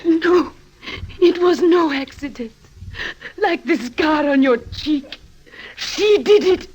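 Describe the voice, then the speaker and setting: A woman's voice: sits high, takes it slowly, darkish tone. A woman playing a distraught, accusing young woman, emphatic and melodramatic, in 1950s radio drama style. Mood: anguished, accusing. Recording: dull vintage radio recording.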